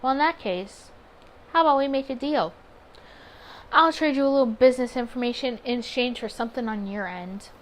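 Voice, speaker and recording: A woman's voice: a little high, fairly quick, lightly acted. A woman voicing a flirtatious, teasing man from a 1920s bar in a visual novel, with a playful, conspiratorial manner. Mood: sly, flirtatious.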